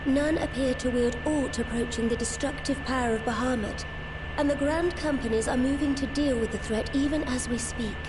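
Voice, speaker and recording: Actress as high-voiced, stately female leader. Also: noisy background, lightly acted. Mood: grave, composed. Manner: formal, stately, measured diplomatic delivery. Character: composed, dignified female head of state and war leader. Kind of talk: scripted fantasy game cutscene acting. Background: music.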